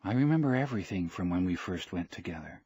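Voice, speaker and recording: A man's voice: low-pitched, lively intonation, fast talker, warm tone, breathy edge, no room sound, dull audio. An earnest young boy, put on by a male audiobook narrator, affectionate and loyal. He speaks eagerly and respectfully, in a close, dry sound.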